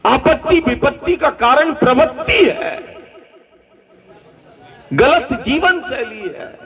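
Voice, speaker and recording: A man's voice: extremely high pitch, big pitch swings, slow, a bit bright. A man giving a devotional discourse to a gathering, rhetorical and repetitive, asking listeners to repeat lines back, recorded from a distance at an outdoor gathering. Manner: rhetorical, repeating phrases, asking the crowd to repeat after him. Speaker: spiritual teacher. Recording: dull distant live recording with long reverb.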